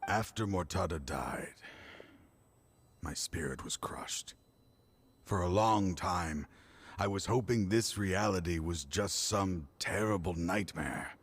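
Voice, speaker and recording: An actor playing a grieving older man, once a partner of the hero, who speaks in a measured, weary and somber way in a game cutscene. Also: sits low, slightly rough, exaggerated voice. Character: grieving older male ex-partner turned foe.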